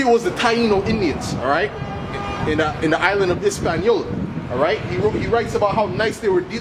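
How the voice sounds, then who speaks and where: Man, high-pitched voice, street preacher, confrontational, accusatory, insistent verbal tags, very noisy urban outdoor recording. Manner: insistent, accusatory, peppered with repeated "man" and "all right". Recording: very loud outdoor city traffic noise.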